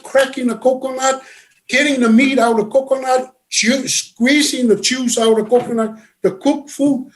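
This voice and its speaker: High-voiced man, halting talk. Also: rough recording. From Samoa. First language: Samoan. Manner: halting, full of uh's and restarts. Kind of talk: casual conversation.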